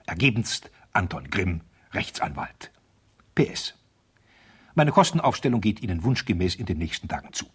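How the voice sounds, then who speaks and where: Husky, low, disapproving male lawyer. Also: big pitch swings, normal speed, exaggerated voice. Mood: stern reproach. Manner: formal, pointed, condescending. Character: stern, disapproving male divorce lawyer, cynical and formal. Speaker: actor in a radio play. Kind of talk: radio drama letter monologue.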